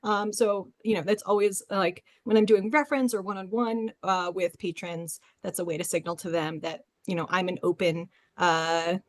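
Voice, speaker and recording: A woman's voice: lowish voice, steady tone, moderate pace, hardly any pauses, no room sound. A woman speaking informally as a librarian in a panel discussion.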